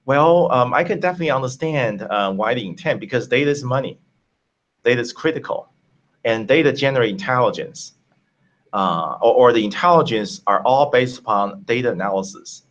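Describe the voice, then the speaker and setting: Male tech-founder guest from Taiwan, mid pitch, halting delivery, slightly dull call audio. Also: animated, fairly bright tone. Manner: halting, many fillers and restarts. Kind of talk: casual interview answers.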